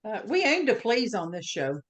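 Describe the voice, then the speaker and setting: A woman's voice: a little high, very animated, moderate pace. A woman hosting a casual chat show over a video call, playful and repeating herself. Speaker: host.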